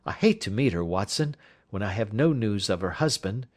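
A man's voice: on the low side, expressive, normal speed. A man playing an assured, courteous detective in an audiobook, speaking with precision. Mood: brisk, earnest. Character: brisk, assured male detective. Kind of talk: audiobook character voice.

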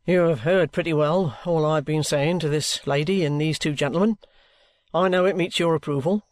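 A plain-spoken old-fashioned trooper acted by a man in a storybook reading. His voice sits a little high for a man and is recorded dry and close, with steady, formal courtesy and stubborn resignation. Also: normal speed, dry recording, natural voice. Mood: cordial, earnest. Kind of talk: dramatized classic novel reading.

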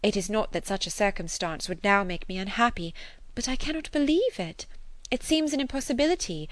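A woman reading the lines of a lively young heroine in an audiobook, quick and eager, full of exclamations. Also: medium pitch, dry recording, lightly acted. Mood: astonished.

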